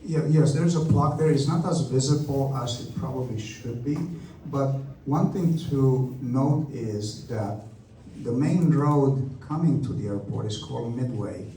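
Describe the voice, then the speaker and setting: A man with a Romanian accent answers questions at a community meeting in a church hall. He talks in a friendly, chatty way and pokes fun at himself. His voice is dark and mellow, and it is picked up from a distance in an echoey room with a faint hum underneath. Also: mid-range voice, a little slow. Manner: friendly, talkative, self-deprecating. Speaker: airport representative. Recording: echoey hall, speaker far from mic.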